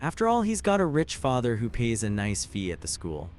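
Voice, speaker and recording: A man's voice: medium pitch, huge pitch swings, fairly quick, darkish tone, soft background music. A man playing a bitter, vengeful schoolteacher who talks with resentful menace. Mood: bitter, threatening. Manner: resentful, threatening.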